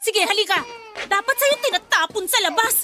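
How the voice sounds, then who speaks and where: A woman's voice: extremely high pitch, animated, brisk, over loud music. A woman playing a resentful, callous young student mother in a Filipino radio drama, whiny, snappish and defiant. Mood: irritated, furious.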